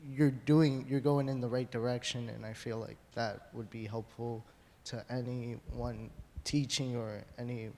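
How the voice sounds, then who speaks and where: Flat-voiced young man musician, hesitant. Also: medium pitch, measured pace, slight background noise. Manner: hesitant, many fillers. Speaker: student musician panelist. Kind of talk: live panel discussion. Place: large hall. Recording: long hall reverb from a live panel.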